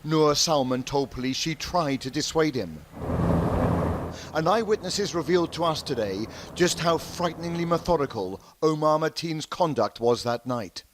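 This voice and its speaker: A man narrating a news report calmly and gravely, also interviewing witnesses. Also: fairly high pitch, normal speed, background noise. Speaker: foreign correspondent.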